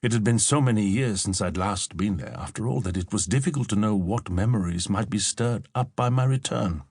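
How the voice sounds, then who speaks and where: A man narrating a literary audiobook as a reflective elderly German-born novelist, in a measured, formal manner. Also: on the low side, darkish tone, husky, dry recording, studio quality. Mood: wry, reflective. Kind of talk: scripted first-person literary narration.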